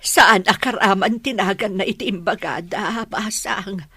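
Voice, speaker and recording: A woman's voice: mid-range voice, unhurried, sharp tone, breathy edge, no echo. A woman with an Ilocano accent playing a concerned village mother in a radio drama. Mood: worried.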